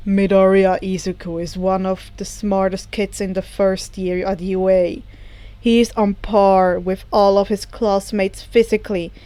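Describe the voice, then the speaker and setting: A woman voicing a stern, protective male teacher in a story, speaking in a measured, formal way. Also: medium pitch, level tone, mellow, dry recording, pitched below the performer's own voice, close to an everyday voice. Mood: composed, firm.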